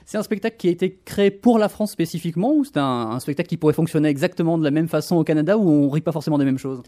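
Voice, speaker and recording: A man's voice: fairly high pitch, rapid-fire, mellow. A man interviewing a guest, asking questions with frequent fillers and hesitations.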